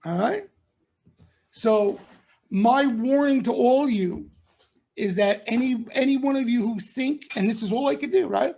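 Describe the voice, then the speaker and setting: A man's voice: extremely high pitch, a little slow, creaky, warm tone. A middle-aged man talks to his audience of traders in a members-only broadcast. He lectures in a blunt, emphatic way and hammers each point by saying it again. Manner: emphatic, repeats points, lectures and warns listeners. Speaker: stock trading commentator.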